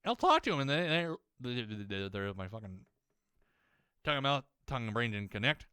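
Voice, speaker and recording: A young man ranting while playing a game, rambling, swearing a lot and often restarting his sentences, his voice slightly hoarse as it warms up. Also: mid-range voice, sing-song, fairly quick, dry recording, studio quality. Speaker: gaming YouTuber.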